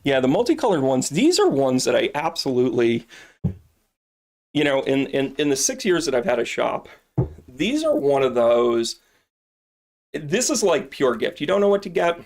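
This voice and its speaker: A middle-aged man chats through a live product demo from his shop. He rambles and cracks jokes between sales pitches, at an ordinary middle pitch. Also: sing-song, moderate pace, darkish tone. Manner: joking, rambling, salesy asides. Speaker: shop owner and live-stream host.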